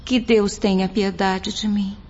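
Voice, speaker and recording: A woman's voice: medium pitch, level tone, a little slow, a little mellow, close to an everyday voice. A Brazilian woman playing a humble, devout young penitent, earnest and pleading, in a radio drama. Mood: grateful, pleading. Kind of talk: Portuguese radio drama acting. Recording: dull lo-fi audio.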